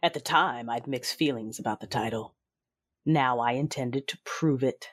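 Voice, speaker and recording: A woman playing a brooding, merciless war leader, narrating an audio drama gravely and deliberately. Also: extremely low pitch. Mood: grim resolve. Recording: music under the voice.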